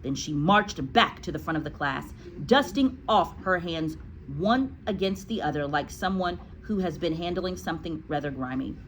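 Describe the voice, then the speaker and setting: A woman's voice: fairly low pitch, expressive, normal speed, a little hiss or hum. A woman teacher reading a storybook aloud to a class, with explanatory asides.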